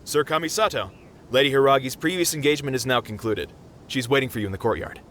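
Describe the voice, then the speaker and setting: A household attendant from a fantasy game, acted by a man, brisk and quick in speech. He is formal and respectful, with a faint rumble behind his voice. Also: a bit bright, natural voice. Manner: formal, deferential. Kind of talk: video game voice acting.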